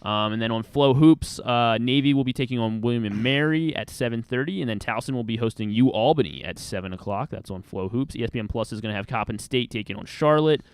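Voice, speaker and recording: Casting: American man, radio sports co-host, crisp clean voice, dry studio sound, casual delivery. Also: medium pitch, quick pace, hardly any pauses, dry recording. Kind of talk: casual sports talk, trivia and schedule rundown.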